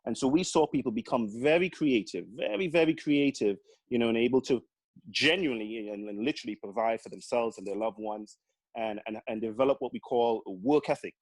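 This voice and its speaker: A man's voice: medium pitch, fairly quick, no room sound. A man from Grenada with a Caribbean accent, chatting earnestly as a guest, often restarting his words. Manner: earnest, with many repeated restarts. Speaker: guest, accountant. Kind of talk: casual interview chat.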